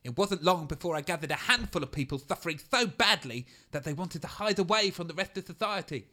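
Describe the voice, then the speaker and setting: A man's voice: a little high, animated, fairly quick, pushed and bright. A man voicing a self-important male scientist who explains things in a pompous, lecturing way.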